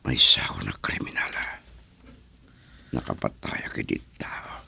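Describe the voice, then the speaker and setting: An actor with a Filipino accent playing a guilt-ridden, bitter man in a radio drama, his voice breathy and gravelly. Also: a bass voice, unhurried, bright, forceful tone, in a whisper, full character voice. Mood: weak, remorseful.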